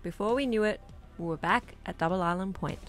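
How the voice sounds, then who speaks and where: A woman's voice: on the low side, a little fast, soft background music. Woman, matter-of-fact sailing vlogger. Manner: plain, matter-of-fact storytelling with light laughs. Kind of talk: scripted voice-over narration and casual vlog talk. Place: outdoors on a beach. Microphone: camera held at arm's length.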